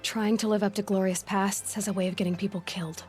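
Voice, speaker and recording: A woman's voice: medium pitch, little pitch movement, quick pace, darkish tone, soft background music, lightly acted. A woman playing a determined young hunter in a video game, speaking in a direct, matter-of-fact way. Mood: firm. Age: young adult. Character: determined, self-assured young female hunter. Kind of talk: dramatic video game dialogue.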